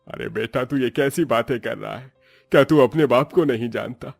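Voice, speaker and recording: A man playing a humble, devout middle-aged farmer and father in an animated moral story, speaking earnestly with exclamations. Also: mid-range voice, normal speed, gravelly, breathy edge, no echo, studio quality. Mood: earnest, insistent. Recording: faint music behind.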